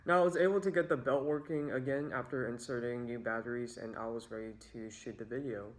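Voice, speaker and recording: A man's voice: mid-range voice, steady tone, fast talker. A young man narrating a scripted storytime about his own experiences as a video creator. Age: young adult.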